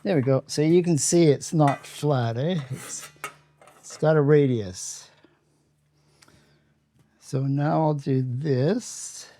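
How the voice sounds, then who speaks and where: A man's voice: on the high side, slow pace, pauses often, dark tone. A man with a Canadian accent casually explaining hands-on workshop steps as he works, plain-spoken and matter-of-fact. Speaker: host of a workshop how-to channel.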